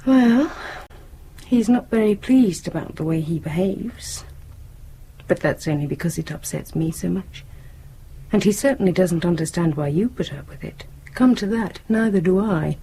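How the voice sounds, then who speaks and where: Grown-up British daughter, direct, concerned, mellow, expressive, radio play, faint background noise. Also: female voice, fairly low pitch, moderate pace, poor audio. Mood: guarded. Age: young adult. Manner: probing, direct. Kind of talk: naturalistic radio drama acting.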